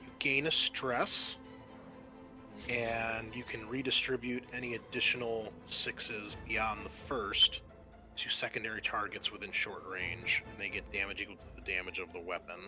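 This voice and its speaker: A man's voice: on the low side, little pitch movement, moderate pace, music in the background, rough recording. A man working as game master, casually narrating scenes and talking through rules with some swearing.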